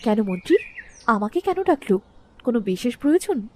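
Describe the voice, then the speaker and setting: A woman's voice: fairly high pitch, sing-song, fairly quick, mellow, slight background noise. A woman voicing a dutiful young princess in a storybook cartoon, with an earnest, regal way of speaking. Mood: calm, curious. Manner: earnest, insistent questioning.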